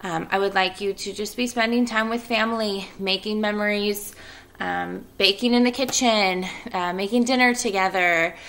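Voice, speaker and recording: A woman who sounds like a schoolteacher addressing young pupils. Her voice sits at a middle pitch and is bright and crisp-edged, with noticeable vocal fry. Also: moderate pace. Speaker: elementary school teacher. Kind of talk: children's read-aloud and casual class announcements.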